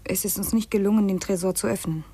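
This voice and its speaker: Brief: gentle secretive aunt, breathy, mid-pitched, hesitant and hushed, vintage radio drama. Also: female voice, fairly even intonation, fast. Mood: anxious, soothing. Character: gentle, secretive aunt by marriage, softly spoken woman. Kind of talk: 1950s German radio play acting.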